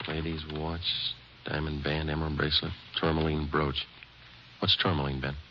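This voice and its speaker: An actor as a police detective sergeant who narrates, speaking in a terse, deadpan, matter-of-fact way. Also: male voice, a bass voice, moderate pace. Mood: clipped. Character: clipped, unflappable male police detective. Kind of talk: 1950s radio crime drama. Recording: noisy, muffled old radio recording.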